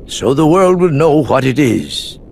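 An actor playing a wise old Chinese emperor, formal, measured and solemn, in a video game. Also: male voice, mid-range voice, big pitch swings, vocal fry, a little mellow, a little hiss or hum. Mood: gracious, warm. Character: stately, wise old male Chinese emperor, stern yet kind. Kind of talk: scripted video game cutscene acting.

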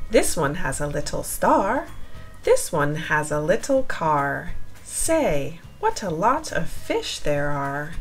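A woman reading a children's picture book aloud in an expressive, sing-song storytelling style with deliberate phrasing. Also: on the low side.

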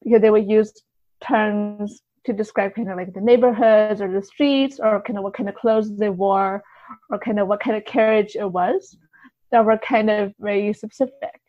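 A woman's voice: mid-range voice, fairly even intonation, moderate pace. A woman guest, a literary translator, explaining things in an interview in a hedging, conversational way. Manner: explanatory, hedging with many softeners. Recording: dull, lo-fi audio.

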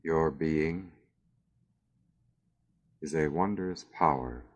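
A man narrating a scripted guided meditation in a measured, soothing way with long pauses, on a dull recording. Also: rumbling low.

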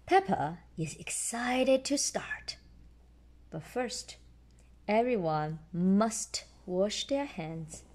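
Slow, lively woman reading a story. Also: medium pitch. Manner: explains simply and patiently to a child. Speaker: storyteller mother reading aloud to a child. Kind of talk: read-aloud children's bedtime story.

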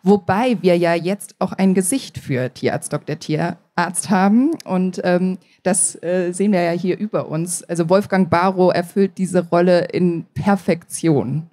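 Low, mellow woman in interview. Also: unhurried, few pauses. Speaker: author, interview guest. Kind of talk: conversational live stage interview. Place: stage at a book fair. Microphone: handheld microphone.

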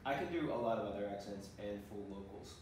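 A young man hosts a relaxed conversation, talking quickly in a slightly low voice with a chatty, self-mocking manner. He sounds far from the camera in a very echoey living room, with faint background noise. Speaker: host. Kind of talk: casual interview chat.

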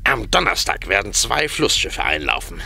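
An actor playing a wry, cagey harbour master in a radio play, explaining things pedantically and with hesitations. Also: male voice, low voice, big pitch swings, moderate pace, gravelly, sharp tone, slightly rough, heavily acted. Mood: explanatory. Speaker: voice actor in an audio drama. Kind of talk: German radio play acting.

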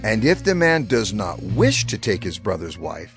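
A solemn male lawgiver addresses his people in an audio scripture drama. His delivery is declamatory and authoritative, with lively, sweeping intonation. Music plays clearly behind his deliberate words. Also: fairly low pitch. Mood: solemn, commanding. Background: background music.

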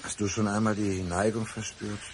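A man's voice: on the low side, flat delivery, normal speed, a little hiss or hum, close to an everyday voice. An actor playing an obsessive, volatile man in a German radio crime drama, intense and insistent in manner. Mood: menacingly tender. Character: obsessive, volatile, alcohol-prone man, menacingly tender. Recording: studio radio drama with music.

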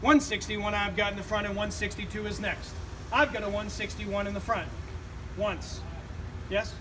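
A middle-aged man working as an auctioneer, calling bids in a rapid, rhythmic, repetitive chant outdoors on a street. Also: high voice, little pitch movement. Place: outdoors on a street beside a building. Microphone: far camera. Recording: distant outdoor recording, street noise.

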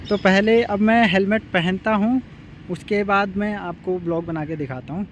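Brief: young male motovlogger with a raised, light voice, chatty and explanatory, street traffic noise loud under him. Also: high-pitched, moderate pace. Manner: chatty, explains each step to viewers. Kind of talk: casual vlog talk to camera. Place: outdoors by a city roadside, later riding a motorbike. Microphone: phone camera, then helmet-mounted action camera. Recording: noisy street recording with traffic and wind. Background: traffic and vehicle noise.

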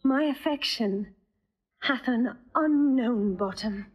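A woman's voice: fairly high pitch, poor audio. A woman acting a witty young woman in love, teasing and playful in a stage drama. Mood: passionate. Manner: playful, quick-witted, teasing. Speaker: actor playing Rosalind.

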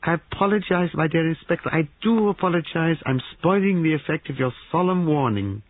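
A man's voice: a little high, a little fast, dry recording, narrow, tinny audio, voice pushed up, lightly acted. A man voicing an eccentric, mocking old man with effusive, mock-humble manners in a story reading. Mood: mock contrition.

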